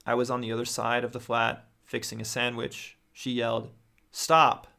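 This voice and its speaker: A young man with a gravelly, mid-pitched voice reads viewer stories aloud on a livestream. He speaks close to a studio microphone in a small room at home. He often stumbles, restarts and adds his own reactions as he goes. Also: monotone, normal speed, pushed and bright. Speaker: host reading viewer stories. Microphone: studio mic on boom near face.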